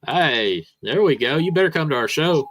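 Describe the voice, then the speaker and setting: A man's voice: a little high, animated, normal speed, fairly bright tone. A man hosting a car audio podcast, joking around and plugging his show in casual chat. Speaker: podcast host.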